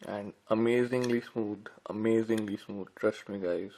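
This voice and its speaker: A man's voice: on the low side, fairly even intonation, a little slow, warm tone, low-quality recording. A man giving casual, informal unboxing commentary as a tech reviewer, talking directly to viewers. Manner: informal, addresses viewers as guys.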